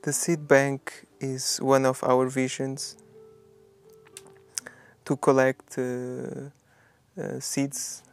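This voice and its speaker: A man's voice: mid-range voice, completely flat delivery, drawn-out words, gravelly, pauses often, clear, resonant tone, faint music behind. A man hosting a podcast and talking about his own path, hesitantly, with many fillers and restarts. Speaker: podcast host. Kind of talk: personal storytelling.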